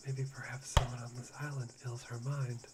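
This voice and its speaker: A performer voicing an unhinged, self-deprecating young man obsessed with hope, in whispered, breathy and fawning ASMR roleplay. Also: mid-range voice, little pitch movement, moderate pace, heavy background noise, lightly acted. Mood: fascinated, musing. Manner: breathy, fawning, giggly, softly menacing.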